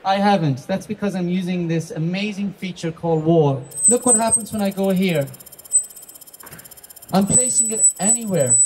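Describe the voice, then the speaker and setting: A man's voice: high-pitched, normal speed, warm tone, slight background noise. A middle-aged man from the west of Ireland with an Irish accent, chatty and self-deprecating, demonstrating a product live on a handheld mic in a large trade show hall. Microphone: handheld microphone.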